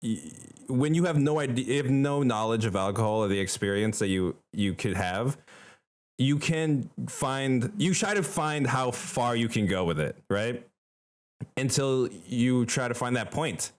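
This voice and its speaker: A man's voice: mid-range voice, expressive, unhurried. A young man from the Philippines chatting casually as a podcast co-host, in an informal, emphatic and slangy way. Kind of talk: casual conversational chat.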